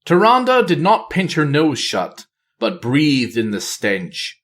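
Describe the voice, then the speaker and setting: A man reading a fantasy novel aloud as scripted narration, in a measured, theatrical manner. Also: on the high side, wildly swooping pitch, a bit bright. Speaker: audiobook reader.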